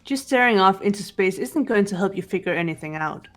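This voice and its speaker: An amateur actress voicing a composed, analytical young female detective in a visual novel game, measured and matter-of-fact. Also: medium pitch, animated, close to an everyday voice. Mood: cool, analytical. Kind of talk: fan-dubbed visual novel game acting.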